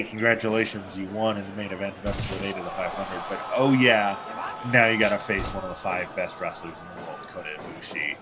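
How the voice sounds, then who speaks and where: Male wrestling commentator, low voice, congested and tired, rambling, clear music bed underneath. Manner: rambling, filler-heavy, animated hype. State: congested and sleepy. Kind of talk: live play-by-play commentary. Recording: music playing behind.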